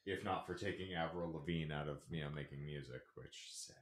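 A man's voice: very deep voice, fairly quick, roomy sound, slight background noise. A man hosting a free-form comedy podcast, chatting jokily with mock-grandiose phrasing. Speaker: podcast host. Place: small indoor room with couch. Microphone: podcast microphone on boom arm.

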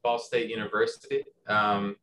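A young man from Southern Indiana speaks in a low, fairly deep voice. He talks in a halting, easygoing way, circling back and restarting his sentences. A faint hum of ambience sits behind him. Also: flat delivery, a bit bright, rough recording. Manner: hesitant, many restarts and fillers. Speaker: guest composer. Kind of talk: casual interview.

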